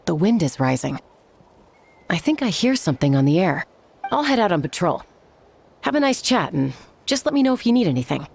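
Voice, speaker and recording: A woman playing a cool, self-possessed female guardian of few words in a video game, gravelly and composed. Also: fairly low pitch, very animated, brisk, long pauses, a little mellow, a little hiss or hum. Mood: cool, watchful. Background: music.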